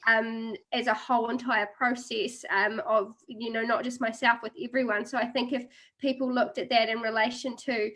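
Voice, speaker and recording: Bright, high-voiced New Zealand woman. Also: flat delivery, moderate pace. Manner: friendly, chatty, many fillers. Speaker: guest speaker, international marketing officer. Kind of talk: webinar presentation. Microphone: video call.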